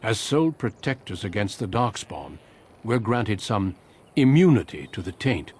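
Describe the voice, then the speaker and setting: A man playing a seasoned, steady warrior recruiter in a fantasy game, speaking in a measured, formal and courteous manner. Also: lowish voice, breathy edge, full character voice. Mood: firm. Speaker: Grey Warden recruiter. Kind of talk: video game cutscene acting.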